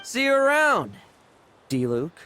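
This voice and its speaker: A man's voice: extremely high pitch, huge pitch swings, slow, sharp tone, a little hiss or hum, heavily acted. An actor playing a cocky, carefree young male monster hunter in a video game, flippant and teasing.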